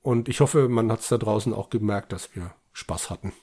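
A man's voice: lowish voice, normal speed, dark tone. A man co-hosting a podcast, giving a casual, conversational recap and review. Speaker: podcast co-host.

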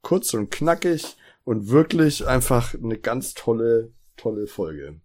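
A man's voice: medium pitch, big pitch swings, slow, darkish tone. A man co-hosting a casual podcast review chat, speaking with enthusiasm and appreciation. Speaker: podcast co-host.

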